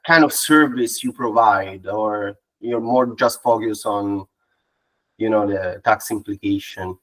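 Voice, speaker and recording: A man's voice: fairly low pitch, slow pace, a bit bright, rough recording. A man with an Italian accent, asking a polite, hesitant question as a webinar attendee. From Italy. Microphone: video call.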